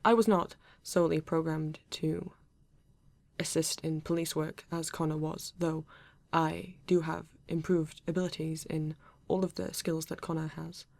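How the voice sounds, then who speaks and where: A woman's voice: low voice, level tone, creaky, a little mellow, dry recording. A woman playing a male android police detective with a British accent, formal, precise and proud yet earnest, in an ASMR roleplay. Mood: grave, measured. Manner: formal, precise, measured, avoids contractions.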